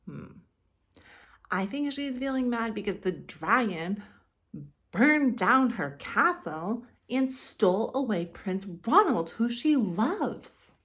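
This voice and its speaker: A woman in her thirties, a kindergarten teacher, reading aloud to young children with simple explanations and expressive storytelling. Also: a little high, slow pace. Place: living room, indoors.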